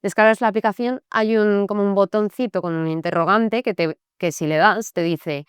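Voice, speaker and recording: A woman's voice: medium pitch, fairly even intonation, moderate pace, clean tone, no echo, studio quality. A young woman, a startup founder, chatting casually as an interview guest with lots of filler phrases. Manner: casual, many fillers like "y tal" and "en plan". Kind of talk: conversational interview.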